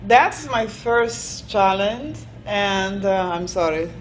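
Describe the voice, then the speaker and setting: A woman from Brazil with a Brazilian Portuguese accent, a guest business owner who speaks hesitantly and softly. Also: medium pitch, lively intonation, slow, a little hiss or hum. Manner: hesitant, soft-spoken, laughs shyly.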